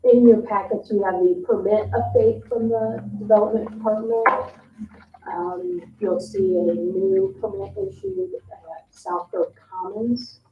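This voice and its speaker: Echoey and somewhat distant, a woman gives a status report at a meeting. Her voice is slightly low and she speaks slowly and haltingly, with faint noise around her. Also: animated. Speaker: town development staff giving report. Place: indoor public meeting room. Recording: echoey room, distant mic, muffled, noisy.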